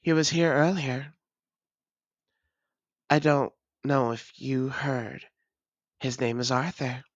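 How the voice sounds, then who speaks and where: A weary vampire played by a voice actor speaks very slowly and formally to a long-lost lover. The delivery is halting and guarded, like an intimate confession in an audio drama. The sound is dry and close. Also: mid-range voice, fairly even intonation, dry recording, highs cut off. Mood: tender. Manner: measured, halting, formal old-fashioned phrasing. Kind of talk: intimate scripted roleplay audio drama monologue.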